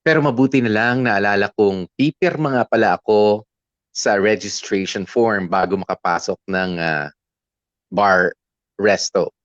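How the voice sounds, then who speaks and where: A dry, slightly dull recording carries a young man's low voice, an actor in the role. He narrates his romance in candid Taglish, conversational and smitten, like a personal confession in an audio drama. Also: measured pace, a bit bright, no room sound. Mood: reflective, smitten. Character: lovestruck young male letter writer recounting his romance.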